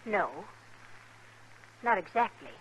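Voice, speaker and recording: An American actress playing an anxious, guarded young frontier wife in a 1950s radio western, earnest and uneasy. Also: female voice, mid-range voice, big pitch swings, slow pace, dull audio, a little hiss or hum. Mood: confessing, pleading.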